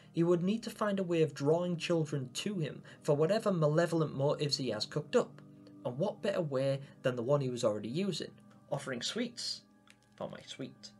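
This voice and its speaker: Young guy doing wordy dramatic game theory commentary, slightly high voice, music behind. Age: young adult. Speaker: video host presenting game theories. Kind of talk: semi-scripted theory commentary to viewers. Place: small home room, indoors. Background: background music.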